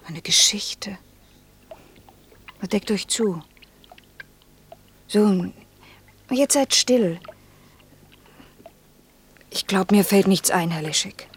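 A polite, reserved wife and mother in an intimate radio play. Her medium-pitched voice is hesitant and softly questioning. Faint noise sits behind it. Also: drawn-out words, long pauses. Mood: soft, reluctant. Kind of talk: intimate German radio drama acting.

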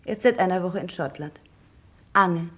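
An actress playing a practical grown daughter in a vintage radio crime play, dry and unruffled. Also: female voice, on the low side, normal speed, close to an everyday voice. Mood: dry, cool. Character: cool, practical grown daughter managing family affairs. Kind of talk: vintage German radio crime drama acting.

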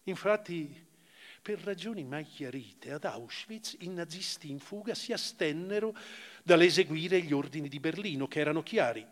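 A grave survivor, reverberant hall. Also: male voice, fairly high pitch. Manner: theatrical, with expressive swells and hushed drops. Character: male camp survivor recalling his ordeal, grave and reflective. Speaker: actor reading a memoir on stage. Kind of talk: dramatic literary stage reading, first-person narration. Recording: long hall reverb of a theatre.

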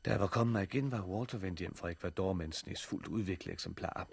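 An actor playing an earnest, curious teenage boy who loves botany, in 1960s radio drama style, with a husky voice. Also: low voice, heavily acted. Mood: reflective narrating.

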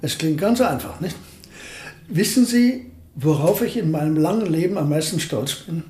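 An actor as an absent-minded old physicist. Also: male voice, a little high, lively intonation, warm tone, slight background noise, close to an everyday voice. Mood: explaining. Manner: measured, lecturing, distracted. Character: elderly male physicist professor, absent-minded, fussy. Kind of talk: radio play acting.

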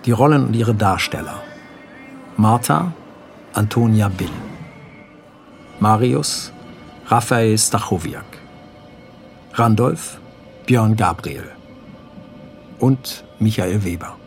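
Male radio play announcer: sober, measured, creaky low-leaning voice reading directions and credits. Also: animated, faint music behind.

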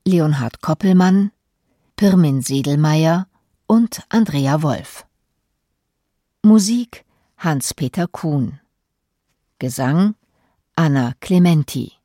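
A woman's voice: low-pitched, dark tone, no echo, clean studio sound. A woman reading scripted radio drama credits as an announcer. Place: dry studio.

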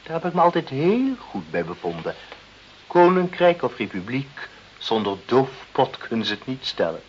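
An actor in a radio play as a pompous, cynical boss who schemes in a condescending, self-satisfied way. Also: male voice, mid-range voice, sing-song, normal speed, lightly acted. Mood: smug, scheming. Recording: muffled old radio recording with hiss.

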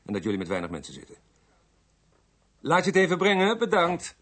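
A man's voice: fairly high pitch, wildly swooping pitch, fairly quick, heavy background noise. An actor playing a sardonic, put-upon male police detective who grumbles dryly in a radio drama. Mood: harried, insistent. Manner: dry, sarcastic, put-upon.

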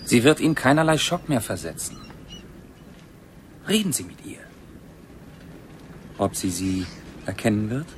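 An actor playing a confident, reassuring English gentleman in a 1970s radio play, encouraging in manner. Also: mid-range voice, sing-song, measured pace, long pauses, slight background noise.

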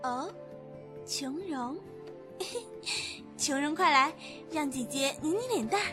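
A woman's voice: high voice, animated, takes it slowly. A woman playing a bold, girlish dragon princess, pert and teasing, in a Chinese fantasy radio drama. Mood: playful delight. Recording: music under the amateur drama voice. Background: background music.

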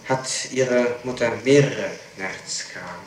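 A man conducting a formal interrogation with deliberate questions, recorded on an old tape from the 1960s. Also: medium pitch, very echoey, slight background noise.

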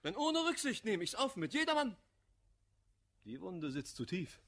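An actor playing an embittered, hot-headed misanthrope in a classical German radio play, declaiming vehemently in rhymed verse. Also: male voice, high-pitched, wildly swooping pitch, measured pace, sharp tone, heavily acted. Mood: bitter, furious. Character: embittered, hot-headed male misanthrope railing against hypocrisy.

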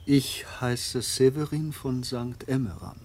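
An actor playing a courteous but guarded monk herbalist in a radio drama, speaking formally. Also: male voice, mid-range voice, fairly even intonation, takes it slowly, darkish tone. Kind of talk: German radio drama acting.

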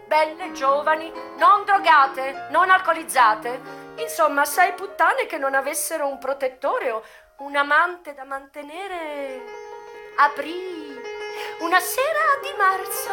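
A woman's voice: sits high, expressive, takes it slowly, bright, forceful tone, full character voice. An actress playing a brazen, worldly American brothel madam in a theatrical radio monologue, drawing out her words and savouring every list. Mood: proud, brisk. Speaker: stage actress. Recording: music playing behind the voice.

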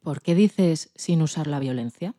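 A woman interviewer on a podcast, putting scripted questions to a guest. Her voice sits a little low and has a dark, relaxed tone. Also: a little slow.

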